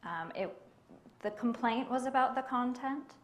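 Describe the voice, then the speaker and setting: A woman with a quiet voice, speaking on a panel at a library event in a measured way, with frequent ums. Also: fairly high pitch, animated. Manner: soft-spoken and measured, with many ums. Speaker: library collection manager on a panel. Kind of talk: panel discussion answers.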